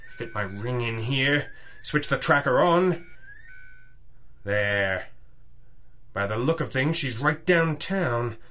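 A man's voice: medium pitch, pushed and bright, slight background noise, exaggerated voice. An actor playing a determined masked vigilante hero in a 1930s-style radio drama, narrating his own actions with melodramatic urgency. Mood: worried, urgent.

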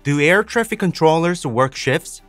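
A man's voice: fairly high pitch, lively intonation, a little slow, a bit bright, dry recording. A man reading out scripted questions for an explainer video. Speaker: question reader. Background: background music.

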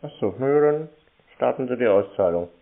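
A man's voice: mid-range voice, lively intonation, brisk. A man in casual phone banter, answering tersely and teasingly with a straight face. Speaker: prank call taker stringing scam callers along. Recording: muffled narrow-band phone call audio.

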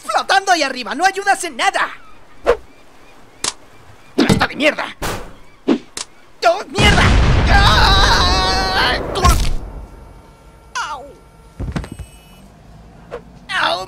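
A man's voice: very high-pitched, expressive, extremely slow, vocal fry, long pauses, pushed and bright, full character voice. A man voicing a hot-tempered, exasperated cartoon hero in a comedy dub, foul-mouthed and over-the-top. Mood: panicked.

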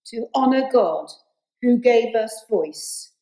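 Slow-speaking older English woman priest. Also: on the high side, lively intonation, dark tone. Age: 60s or older. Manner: measured, deliberate phrasing. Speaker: Anglican priest leading worship. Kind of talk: scripted church welcome and prayer. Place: inside a stone church. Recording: roomy church echo.

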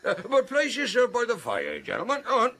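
An actor playing a genial, shrewd country innkeeper with archaic rustic speech in a radio drama. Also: male voice, very high-pitched, wildly swooping pitch, fairly quick, pushed and bright, a little hiss or hum, heavily acted.

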